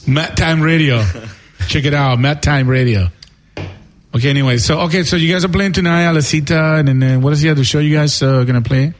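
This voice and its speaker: A man's voice: on the high side, brisk. A man hosting a casual radio show in the studio, laid-back and teasing a caller. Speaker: radio host. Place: radio studio with live audience.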